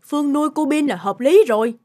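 A woman's voice: high-pitched, fast talker, mellow, no echo, natural voice. A woman voicing a stern, scheming older mother-in-law in a cartoon, speaking firmly and lecturing. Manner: firm and lecturing. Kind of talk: cartoon dub acting.

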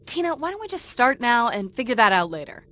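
A woman voicing a level-headed, gently exasperated young adventurer in a video game, patient and reasoning. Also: fairly high pitch, sing-song, brisk.

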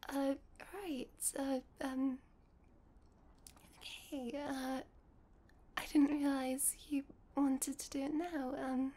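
A woman playing a shy, nervous and tender young vampire girlfriend in an intimate ASMR roleplay, stammering and often slipping into breathy whispers. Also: high voice, warm tone, full character voice.

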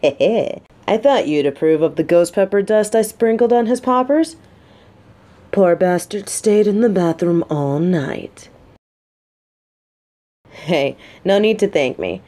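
A woman's voice: mid-range voice, slow pace, pauses often, dark tone, natural voice. A woman playing a guarded, sarcastic but secretly vulnerable lieutenant and bodyguard in an intimate audio roleplay. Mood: wry, confiding. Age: adult. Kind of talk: scripted roleplay audio drama, addressed to listener.

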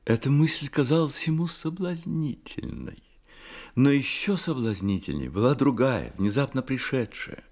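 A man narrating a radio drama as scripted literary storytelling, in a measured manner. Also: medium pitch, expressive, darkish tone.

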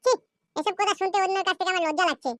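A high-voiced performer playing furious scorned wives in a Bengali comedy cartoon, ranting and scolding in a shrill, pitched-up childlike voice. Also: very fast, pushed and bright, no echo. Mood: scolding, threatening. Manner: scolding, foul-mouthed, ranting.

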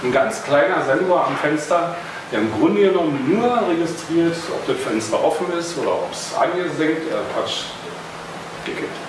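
A man's voice: on the high side, lively intonation, moderate pace. Berlin-accented man in a very echoey room. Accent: Berlin German. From Berlin, Germany. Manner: loose and chatty, with filler words and small jokes; standing and moving about as he talks. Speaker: presenter at a hobby talk. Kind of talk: casual technical presentation. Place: meeting room with projector screen, indoors. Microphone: far camera across the room. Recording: very echoey room, far from the mic, noisy.

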